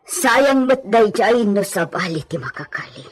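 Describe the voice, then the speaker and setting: Actress as money-hungry village woman, husky, resentful, gossipy, audio drama dialogue. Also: mid-range voice, lively intonation, measured pace. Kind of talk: Ilocano audio drama acting.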